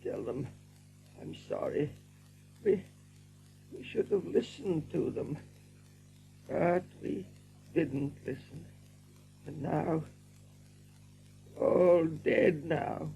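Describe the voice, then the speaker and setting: An actor voicing an emotional warrior rabbit in an audio drama, halting and swinging from murmur to outburst. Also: male voice, fairly high pitch, creaky, a little mellow, soft background music, heavily acted, low-quality recording. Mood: anguished, grieving.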